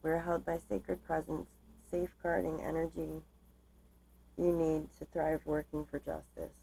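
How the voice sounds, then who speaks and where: A woman giving a tarot card reading, reading aloud and explaining in a measured, halting way, her voice slightly starting to give out. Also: sits low, flat delivery, creaky, warm tone, breathy edge, poor audio. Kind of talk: tarot reading, part read aloud from cards, part informal explanation.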